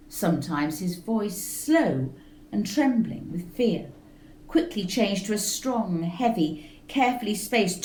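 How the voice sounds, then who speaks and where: A woman reading a story aloud in a slow, measured, expressive way. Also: on the low side. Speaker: reader. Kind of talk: storybook narration. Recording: roomy echo with faint music.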